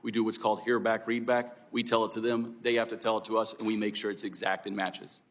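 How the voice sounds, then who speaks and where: A man's voice: fairly low pitch, little pitch movement, quick pace. A middle-aged man giving formal unscripted testimony as an expert witness, explaining things courteously and step by step. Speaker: air traffic control expert witness.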